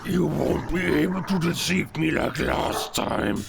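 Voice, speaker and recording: A man's voice: on the high side, unhurried, a little hiss or hum. An actor playing a savage werewolf king, growling out commands in an audio drama battle. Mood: ruthless command. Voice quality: growling, gravelly. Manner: barked commands, switching between German and English.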